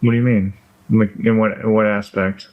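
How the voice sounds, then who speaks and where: A man in a casual phone conversation, speaking calmly and asking questions. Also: fairly low pitch, moderate pace, muffled recording. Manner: calm, questioning.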